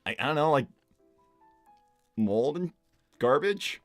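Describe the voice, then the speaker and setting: A man playing a cocky, laid-back, slightly dense young hedgehog hero in improvised live roleplay, talking casually and haltingly with plenty of filler words. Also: on the high side, lively intonation, no room sound, faint music behind. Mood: stubbornly clueless. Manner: casual, halting, lots of "like" and "you know". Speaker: live stream character performer reading donor messages.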